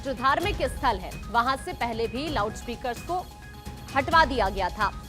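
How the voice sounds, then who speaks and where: A woman's voice: fairly high pitch, expressive, normal speed, fairly bright tone. A woman anchoring live TV news, presenting in an emphatic, repetitive headline style. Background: news music bed.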